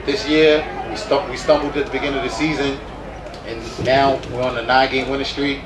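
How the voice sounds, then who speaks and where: A man's voice: mid-range voice, level tone, brisk, crowd noise. A man in his early twenties, a college football player answering at a press conference, repeating phrases for emphasis. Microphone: handheld microphone. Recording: roomy, distant mic, noisy background.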